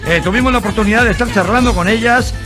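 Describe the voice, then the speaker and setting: Male radio presenter, high voice, rambling delivery, music bed. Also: fast. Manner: rambling, many hesitations and fillers. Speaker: radio host. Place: radio studio.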